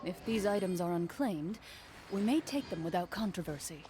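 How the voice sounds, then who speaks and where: A woman's voice: on the low side, lively intonation, music in the background, lightly acted. A woman playing a composed, resolute young duelist in a video game, with formal, commanding delivery. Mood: composed, solemn. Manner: formal, crisp combat calls and measured aphorisms.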